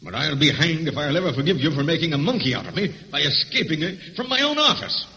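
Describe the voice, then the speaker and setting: Blustering inspector, official and exasperated, wide-swinging bright voice, old radio thriller. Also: male voice, fairly high pitch, big pitch swings, fast talker, exaggerated voice. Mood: grudging, exasperated. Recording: dull, hissy old radio recording with hall reverb.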